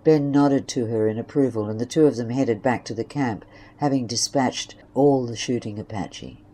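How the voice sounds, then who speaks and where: A woman's voice: very deep voice, moderate pace, dark tone. A woman reading scripted narration for an audio drama story.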